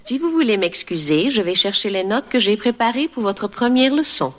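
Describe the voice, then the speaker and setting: An actress playing a charming, flirtatious French tutor in a 1950s radio comedy, with a soft, musical voice. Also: female voice, medium pitch, lively intonation, brisk, lightly acted. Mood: gracious. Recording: muffled 1950s radio recording.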